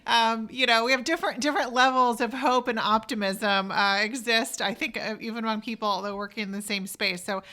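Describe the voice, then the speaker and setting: Bright-voiced American woman hosting. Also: on the high side, fairly even intonation, a little fast, hardly any pauses. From Oklahoma, United States. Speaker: podcast host. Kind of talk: conversational interview.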